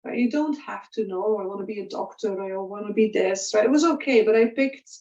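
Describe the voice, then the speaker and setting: A middle-aged woman from Belgium, casually telling her story as a guest, haltingly and often checking in with small tag words. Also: medium pitch, dark tone. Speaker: guest, corporate professional. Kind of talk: casual personal career story. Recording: roomy, distant, dull lo-fi audio.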